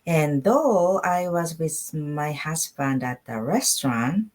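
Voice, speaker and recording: A middle-aged woman from Japan speaks English as an in a low-pitched voice. She is an interview guest sharing her own story in a conversational way, repeating words for emphasis. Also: lively intonation, slow, a little mellow. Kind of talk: personal storytelling in an online interview.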